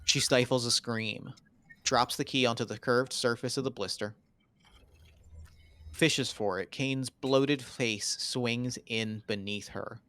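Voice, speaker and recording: A man's voice: mid-range voice, fairly even intonation, takes it slowly, bright, forceful tone. A man reading screenplay stage directions in a dramatic table read.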